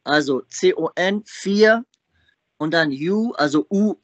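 Blunt guy on a call, bit high pitched, demanding, tells the other person what to do, dry audio. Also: slow, no echo. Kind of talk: phone conversation.

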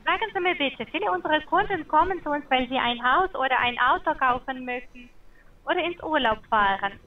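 A woman in her forties works through a scripted sales call in a formal, slightly awkward way. Her voice is high. The phone line sounds dull and muffled. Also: lively intonation, fairly quick. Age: 40s. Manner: formal, scripted, with some slightly ungrammatical phrasing.

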